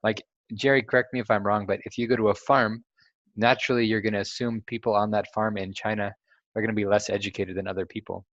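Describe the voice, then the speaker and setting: A man's voice: lowish voice, little pitch movement, fast, a bit bright, no room sound, muffled recording. A young man hosting a casual chat, asking curious questions and laughing easily. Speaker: host.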